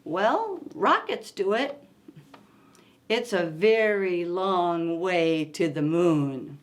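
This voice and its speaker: A woman reading aloud for young children in an expressive, deliberate storytime manner, engaging her listeners directly. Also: lowish voice. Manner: expressive, asks listeners simple questions. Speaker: children's story-time reader.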